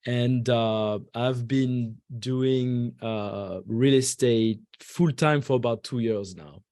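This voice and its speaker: A man in his forties from France with French as his first language, a podcast guest who talks haltingly with many fillers and restarts. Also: medium pitch, dark tone, no echo, clean studio sound. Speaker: guest investor. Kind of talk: podcast interview.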